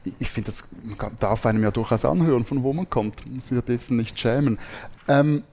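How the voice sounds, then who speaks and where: A Swiss man with a Swiss German accent, co-hosting a lively, joking panel chat in front of a live audience. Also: medium pitch, a little fast. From Switzerland. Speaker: podcast co-host.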